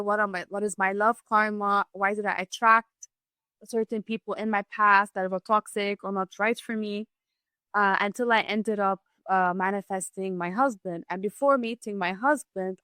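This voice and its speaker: Bright, clear-voiced woman telling her story. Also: medium pitch, no echo. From Lebanese, grew up in Zimbabwe and the Gambia. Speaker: podcast guest, holistic wellness practitioner. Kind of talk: conversational personal storytelling.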